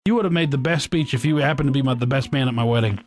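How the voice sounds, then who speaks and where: A man's voice: medium pitch, rapid-fire, a little mellow, faint music behind. A man hosting a morning radio show, chatting and questioning in casual on-air banter.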